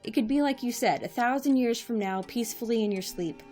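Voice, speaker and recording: A woman acts an irreverent young director in an audio drama. She is wry and sarcastic in a casual way, her voice a little high, with faint music behind. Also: a little fast, dark tone, close to an everyday voice. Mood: frank, sardonic.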